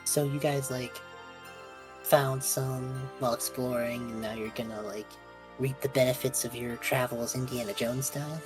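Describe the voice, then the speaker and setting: A woman's voice: rumbling low, steady tone, moderate pace, music in the background. A woman chatting casually in character as a player in a tabletop game. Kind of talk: casual in-character role-play chat.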